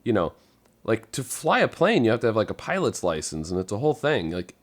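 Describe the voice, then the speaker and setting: A man in casual podcast conversation, easygoing and thinking out loud as a panelist. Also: lowish voice, big pitch swings, a little fast.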